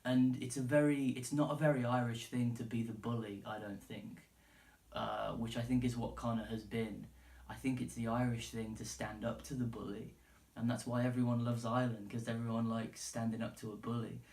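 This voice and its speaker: An English man hosts a solo podcast in a loose, unscripted way, wandering through his opinions in informal language with plenty of swearing. His voice sits at an ordinary male pitch. The recording is echoey and sounds some distance from the microphone. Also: level tone, normal speed, darkish tone. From England. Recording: roomy, echoey, distant from the mic.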